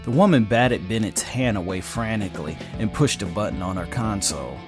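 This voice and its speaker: Low-pitched male sci-fi storyteller. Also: lively intonation, a little fast. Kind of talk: scripted dramatic narration of sci-fi story. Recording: music under the voice.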